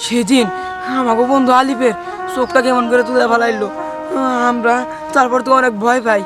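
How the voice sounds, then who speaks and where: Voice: mid-range voice, level tone, brisk, close to an everyday voice. An actor playing a timid, wronged beggar who speaks hesitantly and deferentially, in an amateur short film. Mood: fearful. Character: timid, wronged male beggar pleading for justice. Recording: music behind the dialogue.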